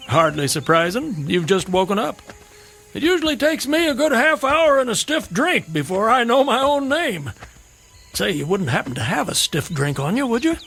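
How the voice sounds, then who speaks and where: A man's voice: high-pitched, very animated, moderate pace, fairly bright tone, slight background noise, heavily acted. An actor playing a wry, flippant male traveler who likes a drink, in an old-time radio drama, breezy and teasing.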